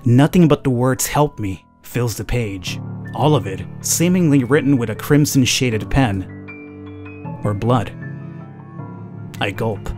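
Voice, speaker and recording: A man with a medium-pitched voice hosts a horror game let's play. He reads the story with drama and talks informally, with faint music behind him. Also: expressive, slow, pauses often, a little mellow. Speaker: gaming YouTuber, let's play host. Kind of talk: reading game narration aloud with casual commentary.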